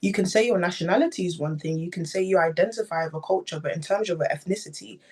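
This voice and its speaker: A woman's voice: fairly low pitch, fast talker, a little mellow, husky. A woman speaking candidly and earnestly as a guest on a political panel, often restarting her phrases.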